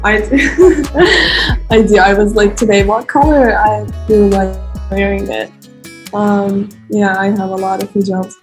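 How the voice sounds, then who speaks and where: A young woman with a Turkish accent chatting gently on a video call, hesitant and full of fillers. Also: mid-range voice, fairly even intonation, measured pace, faint music behind. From Turkey. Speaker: participant. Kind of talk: casual video call chat.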